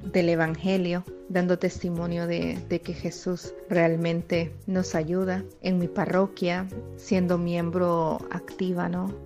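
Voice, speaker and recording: A woman from Honduras giving a slow, reflective testimony about her faith as a parish member, with hesitations. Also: on the low side, little pitch movement, a little mellow, faint music behind. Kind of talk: testimony interview.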